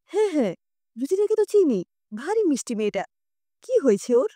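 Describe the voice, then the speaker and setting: A woman's voice: high-pitched, big pitch swings, brisk, mellow, no room sound, clean studio sound. A woman voicing a caring, watchful middle-aged mother who coaxes and reassures in an audio story. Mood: concerned. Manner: coaxing, reassuring.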